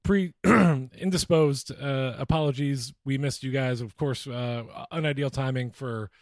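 A man's voice: medium pitch, a little slow, no echo, studio quality. A man co-hosting a podcast in casual chat, joking and swearing freely. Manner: profane, joking. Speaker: podcast co-host.

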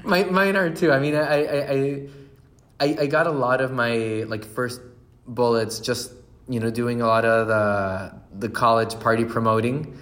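Guest di podcast: uomo con cileno, racconta ridendo spesso, frasi ricominciate, tono medio. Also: male voice, medium pitch, measured pace. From Chile. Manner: animated, laughs often, many restarts. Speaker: brand founder, guest. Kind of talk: casual interview storytelling.

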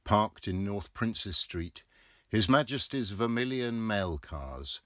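A man in his fifties narrating a literary novel with measured, deliberate phrasing. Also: fairly low pitch, lively intonation, fairly bright tone, dry recording, clean studio sound. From England. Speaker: audiobook reader.

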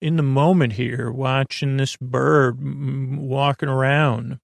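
A man speaks in a very creaky, gravelly voice at a medium pitch, recorded very dry. He hosts a bedtime podcast, wandering softly from tangent to tangent. His delivery is halting, soothing and gently whimsical, full of fillers and pondering pauses. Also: steady tone, no echo. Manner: meandering, hesitant, halting, with frequent tangents and pauses. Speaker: podcast host. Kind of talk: rambling bedtime monologue.

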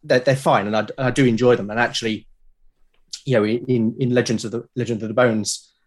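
A British man talking casually as an interview guest, explaining things haltingly and often repeating his words. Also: medium pitch, fairly even intonation. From Britain. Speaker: podcast guest, game master and podcast creator. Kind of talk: casual conversational interview talk.

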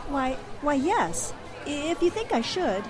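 An actress playing an earnest, polite newspaper reporter in the style of 1940s radio drama. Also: female voice, high-pitched, big pitch swings, a little slow, music in the background, crowd noise. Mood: polite, concerned.

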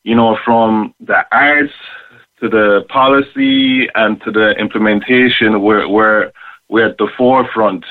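A man giving a thoughtful interview over the phone, earnest and measured, pausing mid-sentence. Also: lowish voice, fairly even intonation. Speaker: interview guest, rapper and youth arts worker. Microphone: phone call. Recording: narrow, tinny phone line.

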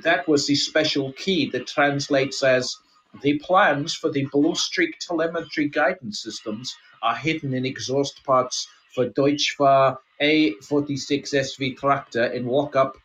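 A man's voice: mid-range voice, a bit bright, pitched below the performer's own voice, lightly acted, low-quality recording. Man putting on a rambling retired Russian KGB colonel. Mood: wistful, earnest. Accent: mock Russian, sometimes slipping into Scottish. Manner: halting, rambling, broken English with dropped articles. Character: retired Russian KGB lieutenant colonel, sleepy, nostalgic, comic. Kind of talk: improvised comic role-play.

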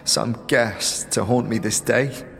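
A man's voice: fairly low pitch, gravelly, fairly bright tone, full character voice. A man as a despairing half-elven warrior woman, reverberant. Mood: grieving, bleak. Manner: bleak, halting, solemn. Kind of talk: audio drama acting. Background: music.

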